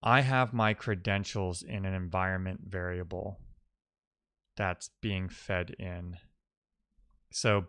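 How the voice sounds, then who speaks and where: A man who streams live coding, chatting casually and musing out loud in loose fragments as he works. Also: lowish voice. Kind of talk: casual thinking-aloud coding stream chat.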